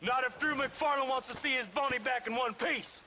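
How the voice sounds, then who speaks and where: A gleeful American outlaw from a Western game, pitched very high for a male voice. He threatens in a mocking sing-song, with clear outdoor noise and horses around him. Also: fairly quick, sharp tone, heavily acted. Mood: gleeful, taunting. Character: taunting, gleeful male Old West outlaw. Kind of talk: video game cutscene acting.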